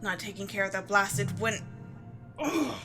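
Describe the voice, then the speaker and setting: A woman's voice: medium pitch, unhurried, music in the background, lightly acted. A woman playing a vicious, impatient villain sister in an audio drama, snappish and sneering. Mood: irritated. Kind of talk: audio roleplay drama acting.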